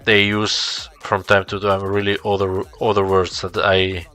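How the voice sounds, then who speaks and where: A man's voice: sits low, monotone, a little slow, sharp tone, soft background music. A man from Spain whose native language is Spanish, chatting casually and searching for his words as he goes. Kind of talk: casual chat.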